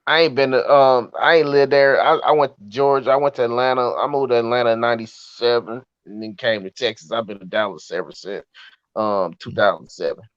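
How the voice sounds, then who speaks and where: A man's voice: mid-range voice, fairly even intonation, a little fast, no room sound. A man from Kansas in casual sports chat as a fan on a remote call. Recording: dull, dry lo-fi audio.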